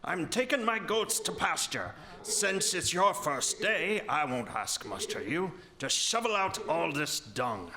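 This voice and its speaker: An actor playing a huge, menacing troll with a threatening, mock-friendly manner in an audio folktale. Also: male voice, a little high, very animated, a little slow, few pauses, a crowd in the background. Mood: sly, commanding. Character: huge ugly menacing male troll master. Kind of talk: audio drama folktale acting.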